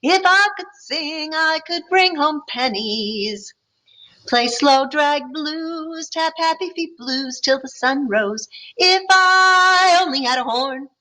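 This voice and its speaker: A woman's voice: high-pitched, animated, slow pace, bright, forceful tone, faint music behind, pitched above the performer's own voice, heavily acted, poor audio. Woman doing a wistful sing-song young boy. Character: hopeful young boy longing for a horn.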